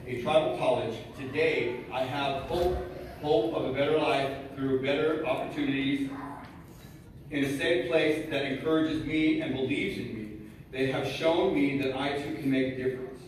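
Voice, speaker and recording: Nervous man, student speaker at a gala, hesitant and halting, mic distant in a very echoey hall with faint hum. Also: mid-range voice, steady tone. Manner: hesitant with many fillers, reading aloud. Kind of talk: nervous prepared speech. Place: large event hall.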